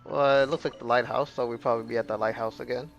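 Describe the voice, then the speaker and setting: A man chats casually as a live streamer, with a mid-pitched, creaky voice. He rambles and repeats himself on a dull, lo-fi mic, with faint game music behind him. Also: fairly even intonation, fast talker.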